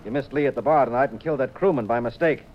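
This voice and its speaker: An actor playing a wry, self-assured private detective in a 1950s radio drama, coolly teasing and dry. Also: male voice, medium pitch, racing, close to an everyday voice. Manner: cool, dryly teasing. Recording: dull 1950s radio recording.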